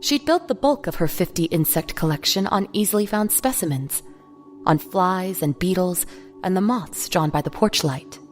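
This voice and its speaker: A woman narrates a scripted audio drama in a slightly low voice. Music plays clearly beneath her storytelling. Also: lively intonation, moderate pace.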